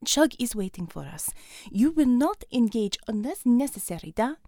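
A woman's voice: a little high, big pitch swings, normal speed, mellow, dry recording. A woman acts out a Russian female combat medic for an audiobook. She is stern and dry, giving clipped orders in broken English with a Russian accent, recorded close and dry.

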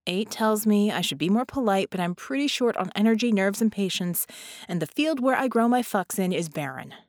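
A woman's voice: medium pitch, animated, a little fast, a little mellow, dry recording, lightly acted. Narrator as weary woman, sardonic, blunt, frayed patience, audiobook character, dry recording. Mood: weary, grudging.

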